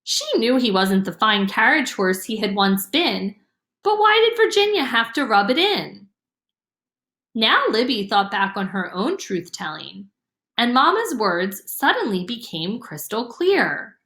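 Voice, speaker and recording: A woman reading a children's storybook aloud as an expressive narrator. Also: fairly high pitch, unhurried. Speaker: storybook reader. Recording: roomy, echoing room sound.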